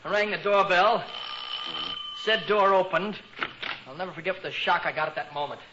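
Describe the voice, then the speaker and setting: An actor playing an eager, self-important American teenage son who fancies himself a private eye, melodramatic and cocky, in 1940s radio comedy style. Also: male voice, high voice, fairly even intonation, normal speed, close to an everyday voice. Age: young adult. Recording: muffled, noisy 1940s radio recording.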